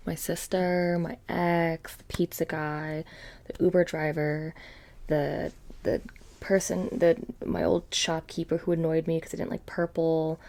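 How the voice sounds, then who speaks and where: A woman playing a playful, menacing witch shopkeeper in a soft-spoken ASMR roleplay, breathy and often whispering, with a casual deadpan delivery. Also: deep voice. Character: playful, menacing, petty witch shopkeeper selling haunted trinkets.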